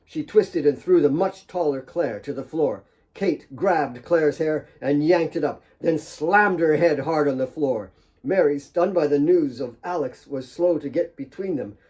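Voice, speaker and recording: A man's voice: on the high side, unhurried, a little mellow, highs cut off. A man reading his own fiction as a dramatic audiobook narrator.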